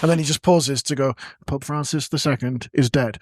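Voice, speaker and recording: A man's voice: medium pitch, a little fast, no echo, clean studio sound. A young British man co-hosting a comedy podcast, telling rambling, playful anecdotes. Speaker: podcast co-host. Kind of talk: casual comedic chat.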